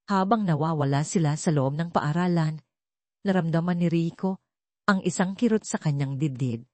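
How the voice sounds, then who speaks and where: A woman narrates scripted drama in a lowish voice. Her tone is dark and mellow, and the sound is dry, with almost no room around it. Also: no echo. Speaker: audio story narrator.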